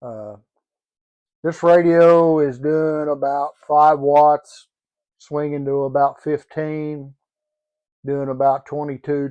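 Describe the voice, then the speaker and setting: A man presenting an informal product demonstration in a halting, matter-of-fact way. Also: fairly high pitch, dark tone, lo-fi sound. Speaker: presenter showing a used CB radio.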